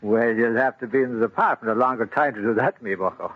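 An actor playing a teasing, blustery veteran fireman with a rough voice and folksy talk in an old radio drama. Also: mid-range voice, fast, dry recording, natural voice. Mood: teasing, gloating. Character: crusty, teasing veteran fireman, an older man. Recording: muffled vintage radio recording.

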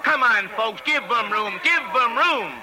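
High-pitched brash carnival barker. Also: male voice, animated, a little slow, background noise. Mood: hawking, excited. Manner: hawking, repetitive showman patter. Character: brash carnival barker Duke, fast-talking and hard-edged. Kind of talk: 1940s radio acting. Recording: old radio recording with loud music behind.

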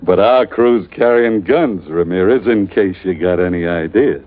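An actor playing a brash, tough, insinuating first mate in 1940s radio drama. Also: male voice, low-pitched, a little slow. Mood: warning. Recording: dry, tinny old radio sound.

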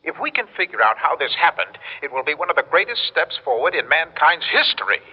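A man acting in a classic radio drama as an excitable, desperate caller from the future, pleading and stammering urgently. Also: fairly high pitch, fairly quick, husky. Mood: urgent, excited. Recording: dull vintage radio recording, long reverb.

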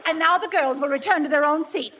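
An actress playing a prim, bossy schoolteacher in a 1940s radio comedy, with a stern, fussy, formal manner. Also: female voice, high-pitched, fast talker, lightly acted. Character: prim bossy female schoolteacher of a rowdy class. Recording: dull old radio broadcast, audience, noise.

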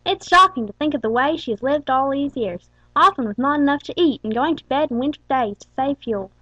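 A middle-aged country wife gossips breathlessly in folksy talk, as a woman acts her out. Her high, quick voice runs on without pause. The muffled, lo-fi recording is dry and close. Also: expressive, fairly bright tone, dry recording. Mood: gossipy. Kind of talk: audiobook character reading.

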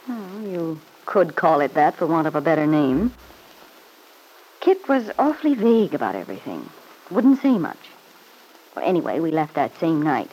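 An actress playing a sly, spiteful middle-aged mother-in-law in an old radio serial, insinuating and quick to justify herself. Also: sits low, sing-song, warm tone, breathy edge, full character voice. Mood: insinuating, confiding. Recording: noisy old radio broadcast recording.

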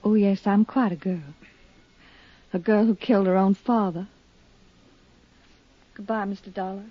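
A woman's voice: medium pitch, steady tone, a little slow, dry recording, natural voice. An American actress playing a brave, shaken young woman in a 1950s radio drama, speaking earnestly and dramatically. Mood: bitter. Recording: dull, dry vintage radio recording.

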